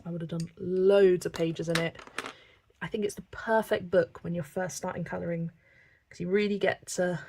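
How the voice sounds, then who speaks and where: A woman's voice: lowish voice, vocal fry, breathy edge. A woman from England, chatting casually and reading viewer comments while she colours on a livestream. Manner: chatty, relaxed, thinking aloud with small laughs.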